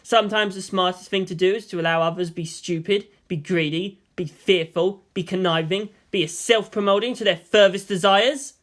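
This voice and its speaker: A man's voice: high voice, moderate pace, few pauses, a bit bright. A man voicing a cheerful, amused older Chinese strategist with a teasing, philosophical manner in an audiobook story. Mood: amused, musing. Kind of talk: dramatized audiobook dialogue.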